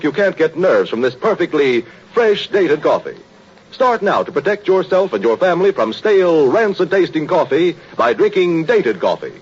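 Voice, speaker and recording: A man's voice: fairly high pitch, breathy edge. A man reading a scripted 1930s radio commercial in an emphatic, declamatory way. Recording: muffled vintage radio recording.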